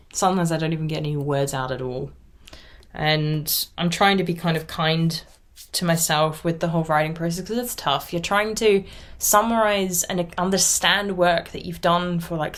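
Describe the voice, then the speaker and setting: A woman around thirty, originally from Austria with German as her first language, chatting casually and at length as a knitting podcast host. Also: lowish voice. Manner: rambly, chatty, self-reflective. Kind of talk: casual vlog chat.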